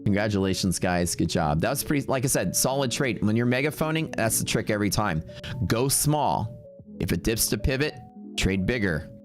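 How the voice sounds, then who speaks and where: A man's voice: lowish voice, lively intonation, normal speed, soft background music. A man with a Texas accent, streaming live day trading and chatting casually and brashly with his viewers. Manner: casual, brash, talks to chat.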